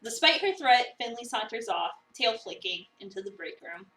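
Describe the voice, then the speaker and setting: A woman's voice: on the high side, animated, moderate pace, sharp tone. A woman giving a dramatic narration of a visual novel, mixed with casual chat. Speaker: streamer reading a visual novel aloud. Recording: roomy, distant mic, music behind.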